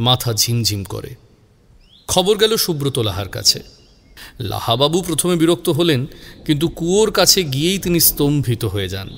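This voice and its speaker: A man narrating a scripted horror story in a suspenseful, measured way, drawing out key words. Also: medium pitch, a bit bright, a little hiss or hum.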